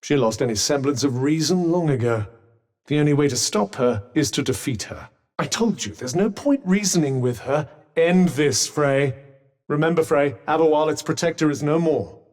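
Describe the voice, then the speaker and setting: A man's voice: on the high side, expressive, normal speed, a little mellow, in a large hall, close to an everyday voice. A man voicing a sardonic talking magic bracelet in a video game, firing off dry, sarcastic quips and urgent combat warnings. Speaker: voice actor.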